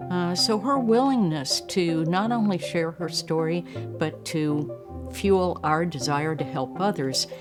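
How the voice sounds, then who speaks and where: A female school leader talks in an unscripted interview. Her voice is low-pitched, and plucked guitar music plays clearly behind it. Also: measured pace. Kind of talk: spontaneous interview talk.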